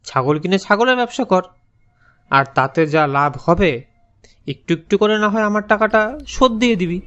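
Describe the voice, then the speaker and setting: A man's voice: high-pitched, expressive, moderate pace, fairly bright tone, clear, resonant tone. A man playing a scheming older village moneylender in a cartoon, drawling and patronizing as he coaxes. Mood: coaxing. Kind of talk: cartoon character dialogue. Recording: dull lo-fi voice over faint music.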